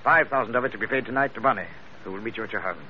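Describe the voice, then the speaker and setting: An actor playing a wily, pompous investigator with florid, deliberate speech in a vintage radio drama. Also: male voice, mid-range voice, lightly acted. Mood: smooth, menacing. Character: wily, pompous British investigator posing as a smooth blackmailer. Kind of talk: 1940s-50s radio drama acting. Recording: muffled, noisy vintage radio recording.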